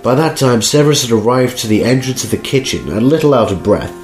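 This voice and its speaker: Narrator for a fan audio drama: mid-pitched, scripted storytelling over prominent music. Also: expressive, quick pace.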